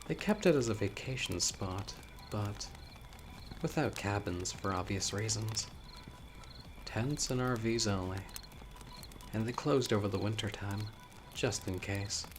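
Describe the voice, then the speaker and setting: A man playing a young newcomer telling eerie local legends by a campfire in a horror audio roleplay, conversational and teasing with dramatic pauses. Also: fairly low pitch, background noise, lightly acted. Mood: casual, knowing. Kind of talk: casual spoken storytelling in horror audio roleplay.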